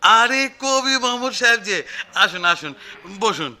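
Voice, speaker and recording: A man's voice: very high-pitched, lively intonation, a little slow, bright, forceful tone, full character voice. A man playing a fussy, ingratiating, scheming newspaper sub-editor in a radio play, effusive and roundabout in his talk. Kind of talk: 1990s radio play acting.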